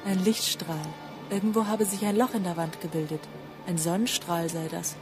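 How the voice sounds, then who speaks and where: An actress playing a storyteller in a literary radio drama, relaying old memories in a deliberate, earnest way. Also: female voice, on the low side, flat delivery, close to an everyday voice. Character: female narrator relaying an old man's insistent memories. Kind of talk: literary radio drama narration in reported speech. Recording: music behind the voice.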